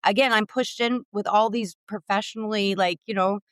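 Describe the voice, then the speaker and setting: A woman's voice: medium pitch, fairly even intonation, normal speed, clear, resonant tone, no room sound. A woman in her late thirties co-hosting a podcast, candid and casual, swearing now and then and trailing off. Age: late 30s. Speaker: podcast co-host, theater teacher. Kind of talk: casual chat.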